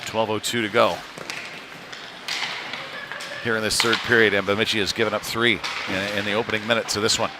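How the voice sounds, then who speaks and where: A man doing live hockey play-by-play in a loose, conversational way, with hesitant pauses mid-call. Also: on the low side, level tone. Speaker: play-by-play commentator. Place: ice rink. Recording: noisy live rink ambience.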